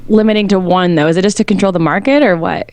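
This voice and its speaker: A woman's voice: medium pitch, fast talker, darkish tone, no room sound. A woman chatting casually on a gaming panel, blunt and playfully argumentative. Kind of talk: casual chat.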